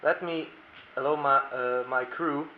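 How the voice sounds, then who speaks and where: A man's voice: mid-range voice, steady tone, measured pace. A man from Slovakia whose first language is Hungarian, a lawyer giving a formal prepared presentation at a roundtable and reading from his notes. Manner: formal, reads from notes, careful non-native phrasing. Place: conference room. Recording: echoey room, dull sound, faint hiss.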